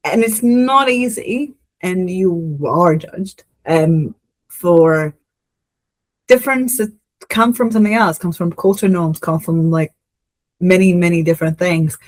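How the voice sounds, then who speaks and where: A woman's voice: fairly low pitch, a little slow, poor audio. A woman with an Israeli accent who hosts a podcast, reflecting earnestly and supportively in conversation. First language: Hebrew. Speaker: podcast host. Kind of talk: interview conversation.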